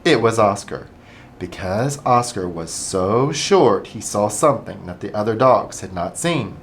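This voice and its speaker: A middle-aged man, a school administrator, reading a picture book aloud to children in an animated, expressive way. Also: fairly low pitch, unhurried, a little hiss or hum.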